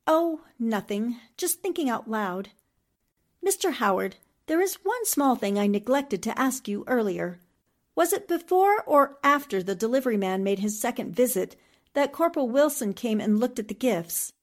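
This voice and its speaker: An actress playing a shrewd, confident newspaperwoman sleuth in a 1940s-style radio mystery, deliberate and probing. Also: a little high, very animated. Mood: thoughtful.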